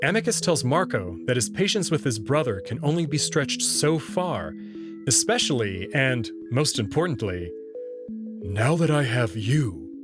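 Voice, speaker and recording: A man's voice: medium pitch, big pitch swings, measured pace, over music. A man giving scripted narration and commentary as a host, with wry, playful asides. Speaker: host reading a visual novel and commenting on it.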